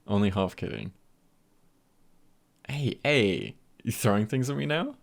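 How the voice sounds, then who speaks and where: Very low, husky, gravelly male friend played by a man. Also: very animated, heavily acted. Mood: playful, teasing. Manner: gentle, hesitant pauses, lightly teasing. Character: easygoing, comforting male friend, gently playful and reassuring. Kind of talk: ASMR roleplay, intimate and unhurried.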